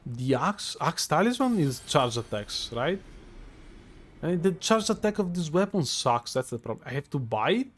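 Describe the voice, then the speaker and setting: A man with a strong Italian accent, a streamer musing out loud over live gameplay with many fillers and restarts. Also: on the high side, big pitch swings, moderate pace. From Italy.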